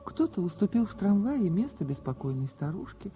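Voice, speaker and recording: A woman narrating a fairy tale for radio in a theatrical, expressive way, with dramatic pauses. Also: medium pitch. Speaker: storyteller, actor. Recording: dull radio recording with music behind. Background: music.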